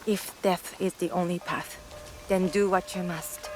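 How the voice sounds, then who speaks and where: An actress playing a devout shrine priest who speaks formally and cryptically in a video game cutscene. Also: female voice, on the low side, fairly even intonation, a little slow, background noise, close to an everyday voice. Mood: grave. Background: music.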